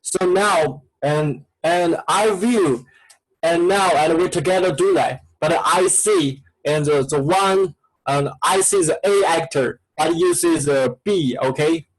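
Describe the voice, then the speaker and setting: A man's voice: a little high, slow pace, poor audio. A man with a Chinese accent, a native Chinese speaker teaching an online class and coaxing students with repetitive prompts in broken English.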